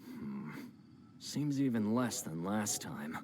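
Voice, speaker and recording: Low, grumbling buyer. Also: male voice, big pitch swings, takes it slowly, darkish tone, slight background noise. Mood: unimpressed. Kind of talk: English video game character dub.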